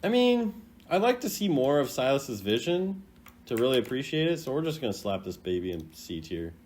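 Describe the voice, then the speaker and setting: A man's voice: medium pitch, sing-song, moderate pace, a little mellow. A man doing casual reaction commentary as a gaming creator, thinking aloud in an informal, rambling way. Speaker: video game content creator ranking voice lines.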